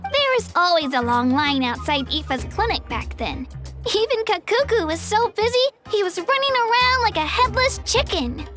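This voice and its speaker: A tiny fairy sidekick from a video game, extremely high and childlike. The delivery is chirpy, curious and bouncy, unhurried, over clear background music. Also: female voice, very animated, a bit bright, heavily acted. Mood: bashful, chatty. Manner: refers to self in third person, chirpy.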